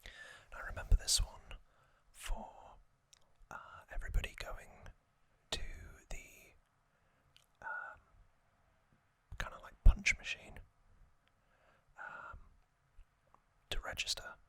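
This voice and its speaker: A man whispering an unhurried ASMR recap, with soft pauses and frequent ums. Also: no room sound. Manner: unvoiced whisper, slow and relaxed with frequent ums. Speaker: ASMR channel host.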